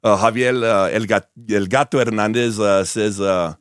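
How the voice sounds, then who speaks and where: A man hosting a live radio show and interviewing a guest, his voice hoarse and raspy, talking in a relaxed, rambling way with many fillers. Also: lowish voice, normal speed, no room sound, studio quality. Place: studio, streamed live on camera.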